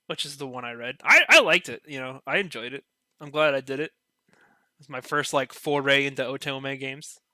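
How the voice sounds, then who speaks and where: A man's voice: medium pitch, normal speed, pushed and bright, dry recording. A man in a casual podcast discussion, rambling and enthusiastic, leaning heavily on filler words. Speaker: podcast panelist.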